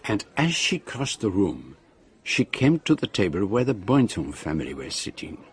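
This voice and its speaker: An actor playing an observant gentleman detective who narrates a radio drama deliberately, in an ominous storytelling manner. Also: fairly low pitch, sing-song, slightly rough, heavily acted. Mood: grave, ominous.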